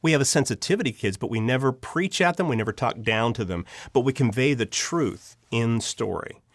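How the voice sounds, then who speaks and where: A man speaks casually as an interview guest, recorded close and dry. His pitch swings widely as he tells personal stories, and he acts out reactions in an animated way. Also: a little fast, no echo. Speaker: interview guest, audio drama writer and producer.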